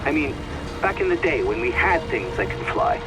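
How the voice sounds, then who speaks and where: Man playing a measured, wry game scientist. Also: mid-range voice, big pitch swings, background noise, natural voice. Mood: grave. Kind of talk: video game cutscene acting.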